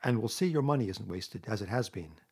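Man as a grave, earnest, husky mole. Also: lowish voice, animated, fairly quick, a little mellow. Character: grave, earnest, loyal little male mole. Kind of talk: storybook character reading.

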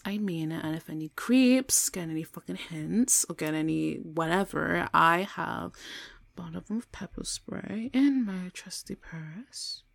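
A woman acting a foul-mouthed young woman in an audio drama, speaking in a halting, informal way with breathy murmurs. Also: lowish voice, no room sound, full character voice. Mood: breezy, tipsy-cheerful. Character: foul-mouthed young woman, casual with friends, pleading when threatened. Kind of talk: solo audio roleplay drama, one side of dialogue.

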